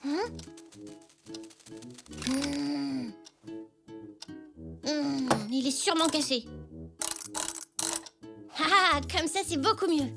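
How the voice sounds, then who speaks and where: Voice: sits high, steady tone, drawn-out words, creaky, pauses often, over music, exaggerated voice. A performer playing an eager, impatient little boy zebra in a cartoon, with a childlike, questioning manner. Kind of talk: French children's cartoon dubbing.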